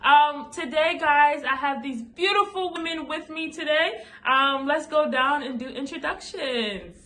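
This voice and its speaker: A young woman hosting a laid-back video chat with friends, her voice high and bright. She is bubbly and giggly, often breaking into exclamations. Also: a little slow. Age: young adult. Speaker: vlogger hosting a Q&A.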